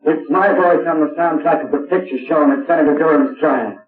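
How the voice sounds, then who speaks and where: A man playing a dying impersonator whose confession plays from a record in a 1930s radio drama, speaking in a halting way. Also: a little high, natural voice. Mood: confessing. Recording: roomy, distant, tinny old radio recording.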